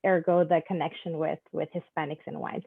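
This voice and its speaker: A woman's voice: deep voice, steady tone, a little fast, gravelly, breathy edge, no echo. A woman from Panama, a guest chatting casually and laughing often, heard over a video call. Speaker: guest, wine events founder. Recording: tinny phone-like call audio.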